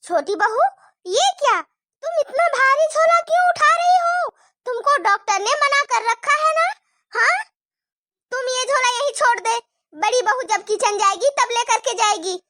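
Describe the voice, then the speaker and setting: Woman doing a nagging older mother-in-law, super high bright voice, scolds everyone, homemade skit. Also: moderate pace, few pauses. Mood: suspicious, bossy. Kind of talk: homemade family drama skit.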